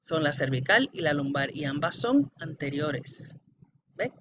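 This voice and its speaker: A woman's voice: sits low, big pitch swings, a little slow. A woman giving an explanatory anatomy lecture in a didactic way, addressing the student directly and often checking for understanding. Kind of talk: explanatory classroom-style lecture. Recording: dull lo-fi audio with background noise.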